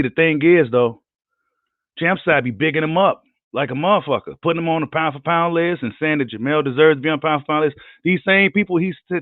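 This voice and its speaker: Hoarse man ranting hotly. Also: a little high, no echo. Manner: heated, profane, combative ranting. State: hoarse, losing his voice. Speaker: boxing commentator. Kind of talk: live rant vlog. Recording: tinny phone-like line, dry.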